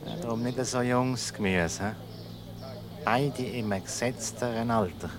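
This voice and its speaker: A man's voice: mid-range voice, unhurried, a crowd in the background, close to an everyday voice. An actor playing a curt, inquisitive man with a Swiss German accent in a radio comedy. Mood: brusque, inquisitive. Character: brusque, inquisitive man searching for a couple.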